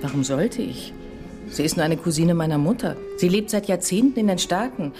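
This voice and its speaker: A woman's voice: lowish voice, moderate pace, over music, natural voice. An actress playing a withdrawn, defensive grieving mother in a radio drama, speaking in a curt manner. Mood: dismissive.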